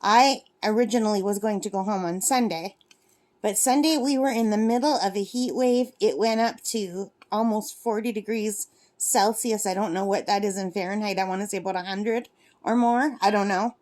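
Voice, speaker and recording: A woman's voice: medium pitch, normal speed, a little mellow. A woman in her fifties chatting casually in a rambling, self-correcting way, vlogging from her lakeside cabin while she crafts. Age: 50s. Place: cabin at a lake.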